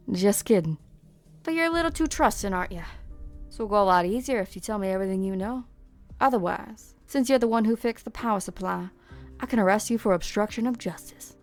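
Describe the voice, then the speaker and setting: A woman's voice: mid-range voice, fairly quick, natural voice. A woman voicing a sly, teasing, threatening law officer in a game drama fan dub. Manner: taunting, assertive. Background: background music.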